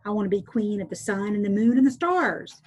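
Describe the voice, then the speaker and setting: A woman's voice: mid-range voice, lively intonation, fairly quick, a little mellow, slightly rough. A woman reading aloud, voicing a greedy, demanding fisherman's wife who gives curt orders. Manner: curt, commanding.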